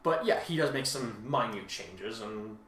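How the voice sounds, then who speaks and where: Halting, chatty man in an echoey room. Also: on the low side, lively intonation, fairly bright tone, far from the mic, slight background noise. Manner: halting, rambling, repeats himself. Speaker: podcast host and fan fiction author. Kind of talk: casual chat about own writing. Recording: roomy, echoing home recording.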